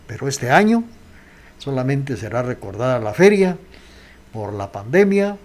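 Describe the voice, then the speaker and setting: Lively radio announcer man. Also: medium pitch. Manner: formal broadcast announcer delivery. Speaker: radio host. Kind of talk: radio announcing with news and song dedications.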